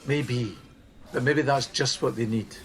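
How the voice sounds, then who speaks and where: A man playing a firm, measured team supervisor. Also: mid-range voice, fairly bright tone, soft background music, natural voice. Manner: measured, tactful, insistent. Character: earnest, firm male supervisor of a helpline team. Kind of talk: naturalistic radio play acting.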